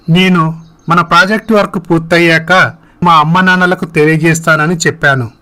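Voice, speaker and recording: A man's voice: a little high, a bit bright. A man voicing an earnest, sorrowful young man in a dramatized moral story. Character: heartbroken young man confiding in his parents.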